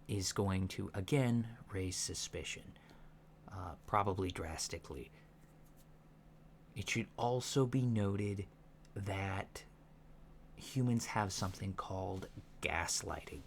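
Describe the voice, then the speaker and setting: A soft-spoken man in an ASMR roleplay, playing a calm alien instructor with a halting, deliberate, matter-of-fact delivery, in a lo-fi recording. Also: low-pitched. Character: calm alien instructor briefing disguised recruits on humans. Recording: lo-fi recording with faint background noise.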